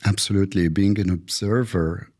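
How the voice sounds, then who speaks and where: A man's voice: sits low, fairly even intonation, a little mellow. A man hosting a podcast interview, reading a formal introduction and asking questions. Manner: slow, deliberate delivery. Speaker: podcast host.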